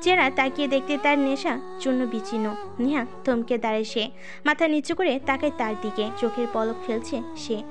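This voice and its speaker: A woman's voice: high voice, normal speed. A woman reading a scripted dramatic audio story as its narrator. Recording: music under the voice. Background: music.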